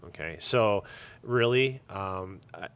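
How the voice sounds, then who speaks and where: Man, lowish pitch, casual interview talk, emphatic, blunt, opinionated. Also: slow, gravelly, fairly bright tone, clean tone. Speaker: comic shop owner being interviewed.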